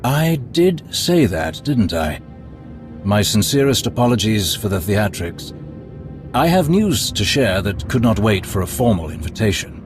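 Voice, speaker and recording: A suave, scheming Sith lord. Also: male voice, lowish voice, big pitch swings. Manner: suave, ingratiating, measured. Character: smooth, scheming male Sith lord, courtly and sly. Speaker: Imperial schemer. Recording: game cutscene over loud music.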